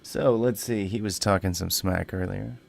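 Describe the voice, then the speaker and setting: A man hosts a gameplay video in a fairly low voice with plenty of gravel. He chats casually and playfully, bantering along over faint game ambience. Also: moderate pace, slight background noise. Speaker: gaming video host.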